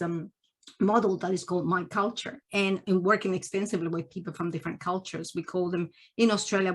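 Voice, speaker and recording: A woman's voice: mid-range voice, a bit bright. A middle-aged woman from Peru with a Peruvian Spanish accent, interviewing in an enthusiastic, self-correcting conversational way. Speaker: interviewer, family therapist.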